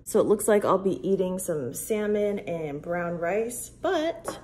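A woman's voice: mid-range voice, lively intonation, a little slow, mellow, a little hiss or hum. A young woman in her twenties chatting upbeat to her phone camera as she vlogs her day, thinking aloud as she goes. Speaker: vlogger. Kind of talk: casual vlog chat. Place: car, store and home kitchen. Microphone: phone camera at arm's length.

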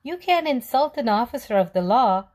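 A woman voicing an indignant policeman in a storybook, loud and scolding. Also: fairly high pitch, animated, normal speed, voice pushed up, close to an everyday voice. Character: gruff indignant male policeman scolding a runaway.

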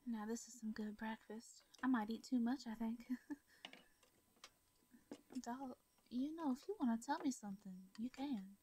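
A woman with a Southern accent voicing a shy, caring hermit farmer woman in a comforting audio roleplay. She speaks softly, stammers and laughs lightly. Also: a little high, moderate pace, long pauses, husky. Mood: warm.

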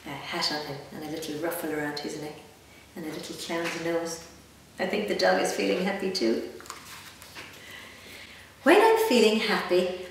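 A woman in her fifties with an Irish accent reads a picture book aloud to children, expressively, with chatty asides and questions. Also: mid-range voice. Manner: animated, gently expressive, addressing young listeners with questions. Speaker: guest storyteller. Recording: echoey room, distant mic, faint noise.

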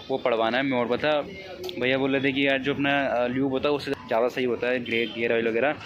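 Talk to the phone like a young man showing friends his bike service, quick, loose and chatty. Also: mid-range voice, background noise. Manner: chatty, calls viewers "bhai" constantly. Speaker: motovlogger. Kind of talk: casual vlog narration to camera. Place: bike service shop, outdoors. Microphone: phone camera.

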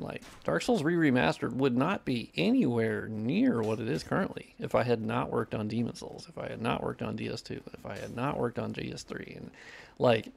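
Nasal gravelly young man streaming. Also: medium pitch, darkish tone. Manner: profane, reads chat questions aloud. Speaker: modder streaming gameplay. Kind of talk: casual livestream chat while playing.

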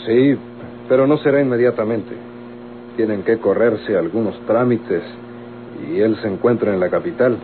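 A man's voice: lowish voice, normal speed, close to an everyday voice. Man playing stern Mexican prosecutor, noisy old radio. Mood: curt, conciliatory. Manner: formal, authoritative legal phrasing. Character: stern, officious male public prosecutor. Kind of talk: Mexican radio soap opera acting. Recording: tinny old radio recording, clearly noisy.

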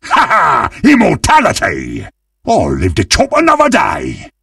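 A man voicing a greedy, gleeful, monstrous undead butcher in a video game, with a gravelly, husky British-accented voice and a menacing, theatrical manner. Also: fairly high pitch, huge pitch swings, a little slow, bright, forceful tone, dry recording, clean studio sound, distant mic, exaggerated voice. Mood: excited, triumphant. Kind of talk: video game character voice lines.

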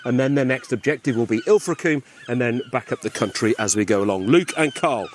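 A man reports on location outdoors, chatty and animated, his pitch moving freely. Faint outdoor ambience surrounds him. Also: fairly quick, a little hiss or hum. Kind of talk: live reporting to camera. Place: outdoors on the coast.

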